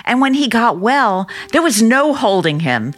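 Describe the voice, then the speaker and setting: A woman's voice: fairly high pitch, sing-song, a little slow. An actress playing a doting, trusting middle-aged sister and small-town mother in an old-time radio drama, chatty and earnest. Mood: nostalgic.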